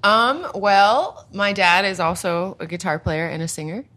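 A woman's voice: mid-range voice, measured pace, fairly bright tone, a little hiss or hum. A young woman, a singer, chatting casually and cheerfully, often laughing between her phrases. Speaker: singer guest. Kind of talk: casual conversation.

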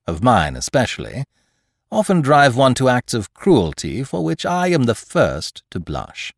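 A man doing a suave, mocking gentleman thief. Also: mid-range voice, vocal fry, dry recording, studio quality, voice pushed up, full character voice. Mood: courteous. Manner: jaunty, mocking, grandly courteous.

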